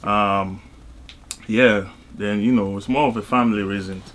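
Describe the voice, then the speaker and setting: A man from Cameroon, talking casually as an interview guest in a halting way, with frequent asides. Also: fairly low pitch, fairly even intonation, a bit bright, slight background noise. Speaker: interview guest, music artist and label executive.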